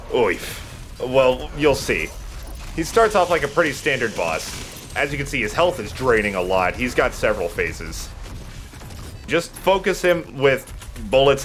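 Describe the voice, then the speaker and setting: A man's voice: medium pitch, a bit bright. A man giving casual, joking gameplay commentary in an informal way. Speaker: let's play co-host, player. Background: video game music.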